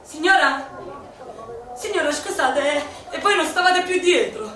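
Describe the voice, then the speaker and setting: An actress playing a spirited young woman in a bomb shelter, sharp-tongued with a singsong lilt and a Neapolitan accent. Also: very high voice, lively intonation, unhurried, background noise. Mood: teasing. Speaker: stage actor. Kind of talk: theatrical radio play acting. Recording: echoey distant stage recording with music.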